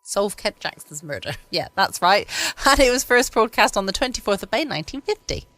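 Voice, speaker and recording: A woman's voice: mid-range voice, very animated, brisk, fairly bright tone, no room sound. A woman, chatting casually and musing as host of a bedtime podcast. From United Kingdom. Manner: rambling, musing to herself. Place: quiet room at home.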